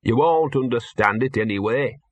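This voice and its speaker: A man's voice: mid-range voice, moderate pace, no room sound, highs cut off, close to an everyday voice. A man voicing a scruffy, streetwise talking dog with a grumbling, mournful, put-upon way of speaking in an audiobook.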